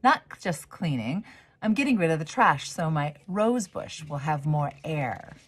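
A woman's voice: low voice, expressive, measured pace, husky, exaggerated voice. A woman voicing a hopeful, determined little girl in a storybook, earnest and eager. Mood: eager, insistent.

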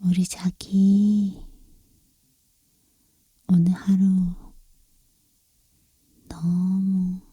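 A tender girlfriend, played by a woman, whispers right up close in a very dry, intimate sound. Her voice is soft, airy and deeply mellow, with unhurried, affectionate cooing meant to lull a lover to sleep in an ASMR roleplay. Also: flat delivery, husky, no echo, exaggerated voice. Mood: comforting. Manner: slow, gentle, affectionate cooing. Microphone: close-up microphone, dry.

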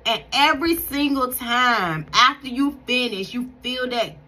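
A woman in her thirties talks straight into a close phone camera in a bright room at home. She gives spiritual encouragement in a husky, edgy voice, earnest and preachy, often restarting her sentences. Her pitch sits a little high. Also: measured pace. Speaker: faith vlogger. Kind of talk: casual spoken devotional encouragement. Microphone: phone camera at close range.

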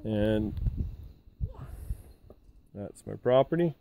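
A man's voice: lowish voice, expressive, drawn-out words, gravelly, warm tone, slight background noise. A middle-aged man talks casually through a tour of his timber house frame outdoors, recorded on a handheld camera and rambling with many fillers. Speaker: vlogger touring his house build. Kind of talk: casual walkthrough narration.

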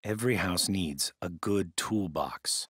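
A man playing a stern, middle-aged ex-army stepfather in a video game, with an American accent and a gruff, commanding manner. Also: low voice, takes it slowly, fairly bright tone, no room sound, clean studio sound, heavily acted. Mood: gruff lecturing.

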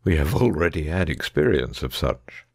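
A man playing a keen, analytical gentleman detective in a dramatic audiobook reading, precise, discursive and wry. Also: extremely low pitch, lively intonation, normal speed, gravelly, dry recording, studio quality, heavily acted. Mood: explaining.

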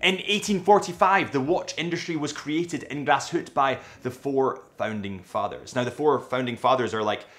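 A young Scottish man talks lively and animated, gesturing as he presents. His voice sits in a middle register, and he is recorded on an off-camera mic in a small, bright indoor studio. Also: medium pitch, normal speed, few pauses. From Scotland. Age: young adult. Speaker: watch retailer presenter. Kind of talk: enthusiastic watch vlog narration.

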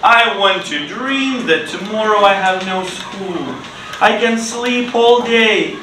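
Slow-speaking male teacher in a classroom. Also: high-pitched, lively intonation. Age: middle-aged. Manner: simple, deliberate phrasing with repeated words and short instructions. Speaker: ESL teacher. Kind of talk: classroom English lesson for children. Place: indoor school classroom. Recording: roomy, noisy classroom, distant mic.

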